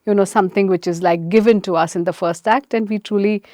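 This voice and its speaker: Woman hosting a podcast, super enthusiastic and gushing, mid pitch, dry close sound. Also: steady tone, quick pace, dry recording. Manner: warm, effusive, enthusiastic. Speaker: podcast host. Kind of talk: podcast interview.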